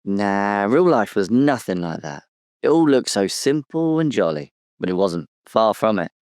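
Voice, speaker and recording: A man's voice: fairly low pitch, big pitch swings, measured pace, a little mellow, no echo, studio quality. A British man playing a tiny, aggrieved, boastful cartoon-book character in an audio drama interview, chatty and colloquial. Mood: grumbling, candid.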